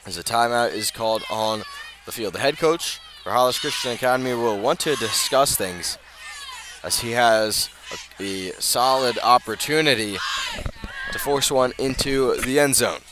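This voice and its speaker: A man calling live American football play-by-play for radio, moving between measured narration and raised, excited calls. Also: medium pitch. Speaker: play-by-play sports commentator. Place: outdoors at a high school football field. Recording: noisy outdoor stadium, live broadcast.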